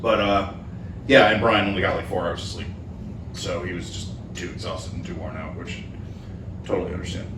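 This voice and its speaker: A man hosting a casual podcast chat, relaxed and rambling, with plenty of fillers and easy laughs, recorded in a living room with a football game on. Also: deep voice, a little fast, pauses often. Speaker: podcast host and band member. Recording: roomy, distant mic, noisy background.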